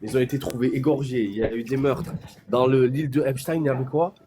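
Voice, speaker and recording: Halting, insistent man, crowd behind. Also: mid-range voice. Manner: halting, repeats words, insistent. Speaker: debate participant. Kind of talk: heated live debate.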